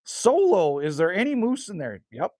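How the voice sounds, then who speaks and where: A man's voice: high voice, huge pitch swings, moderate pace, mellow, no room sound, clean studio sound. A man, chatting casually and rambling with viewers as a livestream host outdoors by a rural cabin. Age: 50s. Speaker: livestream host reading chat.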